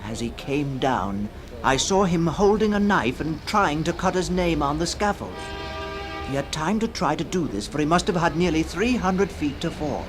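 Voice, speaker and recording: An actor playing a brooding, scornful man who tells an uncanny tale in a theatrical, deliberate 1960s radio reading. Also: on the high side, lively intonation, music in the background, close to an everyday voice. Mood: scornful musing. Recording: noisy 1960s radio recording.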